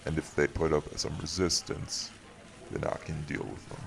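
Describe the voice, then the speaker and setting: A man playing a weary, wry, protective vampire city leader in an audio roleplay, speaking in a measured, dry, teasing way. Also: very deep voice, little pitch movement, gravelly, a crowd in the background. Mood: calm, reassuring. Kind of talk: audio roleplay drama acting.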